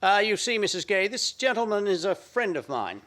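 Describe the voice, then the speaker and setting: A man's voice: high voice, sing-song, moderate pace, a bit bright. A man playing a flustered, bungling doctor friend in a radio play farce, hesitant and bumbling.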